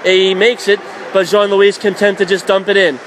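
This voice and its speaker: A man doing radio play-by-play of a college hockey game, calling plays quickly and shouting at the big moments. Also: sits high, flat delivery. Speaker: play-by-play announcer. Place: college ice arena. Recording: noisy background.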